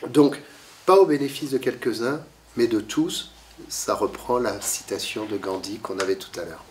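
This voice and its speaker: A man's voice: lowish voice, moderate pace, recorded from a distance, slight background noise. A man with a cold giving a talk to a library audience, lecturing with lots of fillers and restarts, coughing now and then. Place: library meeting room.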